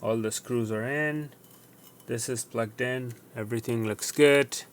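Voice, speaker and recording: A man presenting a casual hands-on repair demonstration, going step by step in a halting way and correcting himself. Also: medium pitch, steady tone. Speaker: tutorial presenter.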